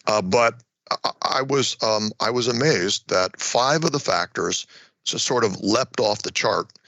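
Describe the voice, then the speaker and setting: Older american guy, lowish and gravelly, explains research in an interview, kinda halting with repeats. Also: a bit bright, no echo. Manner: hesitant, halting delivery with repeated words. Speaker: retired war college professor, research guest.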